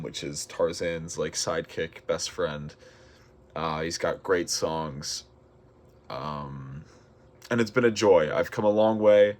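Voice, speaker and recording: A young man with an American accent and a deep, low-pitched speaking voice. He talks casually and informally, in the style of a personal vlog addressed straight to the viewers. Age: early 20s. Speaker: vlogger and amateur musical theater performer. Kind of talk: casual vlog talking to camera.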